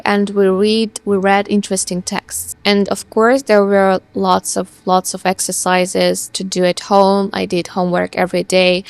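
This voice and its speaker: A young woman vlogger whose first language is Russian speaks earnestly to camera, now and then restarting or repeating a word. Her voice has a gravelly edge at an ordinary pitch. The sound is close and dry, with no room around it. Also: no echo. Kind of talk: casual talk to camera.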